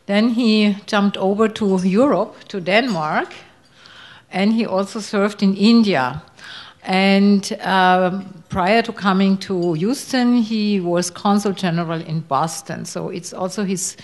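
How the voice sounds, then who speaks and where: A woman introduces a diplomat at a university panel in a mid-range voice. She pauses often and speaks in a halting way as she goes through his career. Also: medium pitch. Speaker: co-moderator introducing a guest. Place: university lecture hall.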